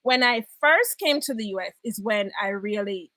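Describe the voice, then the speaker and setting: A woman's voice: medium pitch, moderate pace, dry recording. A Jamaican woman hosting a live online broadcast, chatting casually, laughing often and greeting viewers. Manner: lively, laughs often, reads out viewer comments. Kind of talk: casual live-stream chat.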